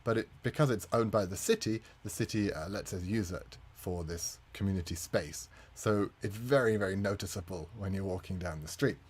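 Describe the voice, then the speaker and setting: A man's voice: low voice, moderate pace, few pauses, slightly rough. A man, a native English speaker, presenting a conference talk as a community manager, friendly with frequent fillers.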